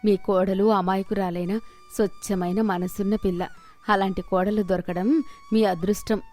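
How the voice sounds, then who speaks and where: A woman voicing a kindly, gracious older woman visitor in a story, gentle and reassuring. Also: mid-range voice, level tone, moderate pace, faint music behind, pitched below the performer's own voice, lightly acted.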